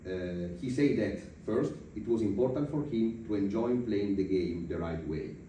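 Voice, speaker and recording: A man's voice: on the low side, moderate pace, dark tone. A man hosting a podcast interview, asking questions in formal phrasing broken by many hesitations. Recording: roomy echo, distant mic, faint noise.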